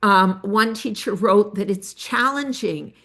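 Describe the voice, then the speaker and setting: Slow, gravelly older woman researcher. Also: mid-range voice, fairly bright tone. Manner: measured, with frequent filler pauses. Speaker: education researcher presenting study results. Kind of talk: academic presentation.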